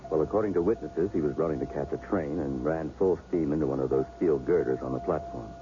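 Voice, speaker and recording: An actor playing a calm, matter-of-fact hospital doctor in a 1970s radio drama. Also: male voice, a bass voice, fast, over music. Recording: dull old radio recording with faint hiss.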